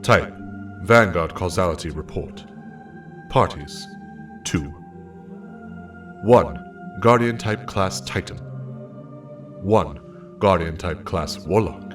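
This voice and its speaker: Slow, distant man voicing an archive machine. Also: low voice. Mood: impersonal. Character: impersonal archive machine announcing messages and reports. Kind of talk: clipped report readout. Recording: distant voice under music.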